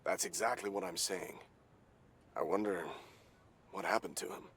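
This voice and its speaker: An actor playing a puzzled, low-key townsman in a video game, with a hesitant, musing manner. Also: fairly even intonation, normal speed, a bit bright, breathy edge, exaggerated voice.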